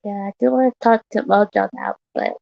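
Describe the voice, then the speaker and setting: Hoarse, gravelly woman ranting on a livestream. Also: medium pitch, steady tone, brisk, no room sound, lo-fi sound. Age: 30s. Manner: repetitive, blunt, swearing, rambling. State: slightly hoarse from yelling. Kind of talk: casual livestream rant.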